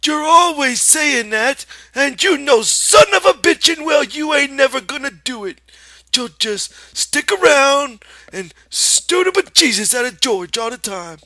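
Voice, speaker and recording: A man voicing a sharp-tongued old aunt who scolds in a high, shrill voice. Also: takes it slowly, dry recording, heavily acted. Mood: scolding.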